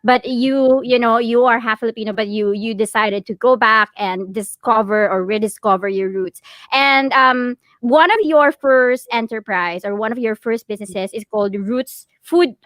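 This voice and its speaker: A chatty woman co-host with a fairly high voice, asking questions in a relaxed, friendly way with plenty of fillers. The sound is close and dry, with no room around it, on a video call. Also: moderate pace, dry recording. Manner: friendly, chatty, laughs often, many fillers. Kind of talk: casual interview chat.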